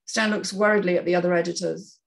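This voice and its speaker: A woman's voice: on the low side, fairly even intonation, fast talker, creaky. A woman narrating stage directions at a screenplay table read, in a scripted, read-aloud style.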